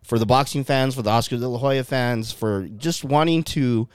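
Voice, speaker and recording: A man with a smooth, resonant voice chats loosely on a podcast, dropping curse words and plenty of ums. His voice is close and dry, with no room sound around it. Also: medium pitch, normal speed, dry recording. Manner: loose, swearing, rambling with fillers. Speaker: podcast co-host. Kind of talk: casual podcast chat.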